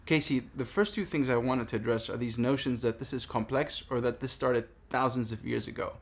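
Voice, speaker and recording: A man in his thirties from Palestine speaking in a personal monologue, earnest, deliberate and emphatic. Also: medium pitch. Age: 30s. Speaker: vlogger making a response video. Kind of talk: personal monologue addressed to camera.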